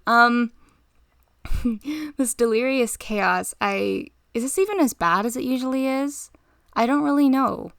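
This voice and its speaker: Tired, slightly raspy young woman rambling. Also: fairly high pitch, expressive, a little slow, long pauses, fairly bright tone, no echo, clean studio sound. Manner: rambling, self-interrupting, giggly. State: tired, short on sleep. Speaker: art channel host. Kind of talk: rambling voice-over while drawing.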